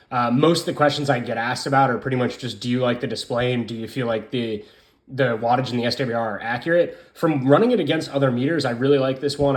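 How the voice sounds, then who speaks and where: Man in a roomy, echoing space, reviewing casually. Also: medium pitch. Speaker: radio hobbyist reviewer. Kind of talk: casual hands-on product review. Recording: roomy, echoing room sound.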